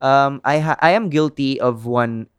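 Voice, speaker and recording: A man's voice: mid-range voice, a little slow, clean tone, no echo, clean studio sound. A man with a Filipino English accent, chatting casually and giving opinions with lots of "like" fillers. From Philippines. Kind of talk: casual chat.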